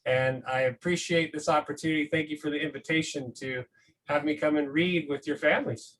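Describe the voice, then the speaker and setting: Man, fairly high pitch, educator, casual explanatory talk, conversational check-ins. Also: steady tone, a little fast, fairly bright tone, low-quality recording. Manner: conversational, often seeking agreement with tag questions. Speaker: science educator, guest reader.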